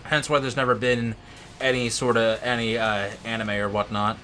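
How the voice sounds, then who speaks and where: A man's voice: mid-range voice, level tone, moderate pace, bright, forceful tone. A man with a mid-range voice co-hosts a video game playthrough. He comments casually, as in a loose chat with a friend, while faint game music plays behind him. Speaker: co-host of a gaming let's play.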